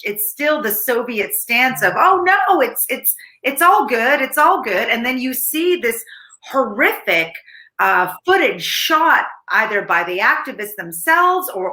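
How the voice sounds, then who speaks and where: A woman's voice: on the high side, reverberant. A woman film critic whose first language is Ukrainian, chatting in a casual review with laughs and frequent restarts. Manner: animated, laughing, restarts often.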